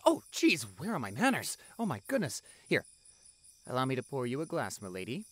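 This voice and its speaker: A young man playing a devoted doctor boyfriend in a roleplay audio drama, speaking softly and affectionately with some hesitation. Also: medium pitch, huge pitch swings, fairly quick, dry recording. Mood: flustered, affectionate. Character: tender, devoted doctor boyfriend on an anniversary date. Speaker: voice actor.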